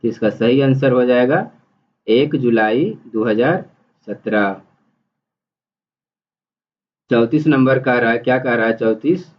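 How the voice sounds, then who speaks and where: A man's voice: medium pitch, moderate pace. A man teaching exam prep, reading multiple-choice questions and explaining the answers in an instructional, repetitive way. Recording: dull, muffled lo-fi audio.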